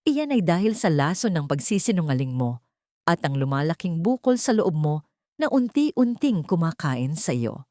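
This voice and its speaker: An icy, scornful wife played by a woman narrator in an audio drama, in a lower female register. Her pitch moves widely as she delivers bitter, vengeful lines, and the sound is close and dry. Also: big pitch swings, moderate pace, mellow, no room sound. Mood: cold, mocking. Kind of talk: dramatic audio story dialogue.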